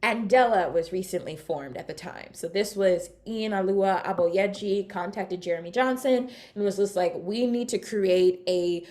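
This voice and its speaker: A woman's voice: medium pitch, moderate pace, few pauses. Upbeat chatty woman speaker. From California, United States. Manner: chatty, upbeat, addresses audience with shout-outs. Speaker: summit keynote speaker. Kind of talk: energetic conference talk. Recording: online talk.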